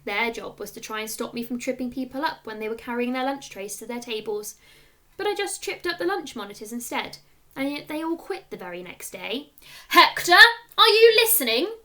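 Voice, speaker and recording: A woman reading a children's story aloud like a schoolteacher, with expressive narration and clear storytelling emphasis. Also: on the high side, a little fast.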